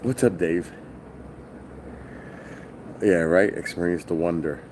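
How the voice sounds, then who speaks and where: A middle-aged man from New with a loud voice, telling a rambling, profane story on a casual stream from his hotel. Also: deep voice, slow, slight background noise. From New York. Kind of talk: casual live-stream vlog. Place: hotel, indoors.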